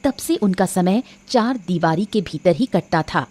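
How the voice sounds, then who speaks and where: Animated woman story narrator. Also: lowish voice, measured pace. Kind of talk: scripted narration of a moral cartoon story.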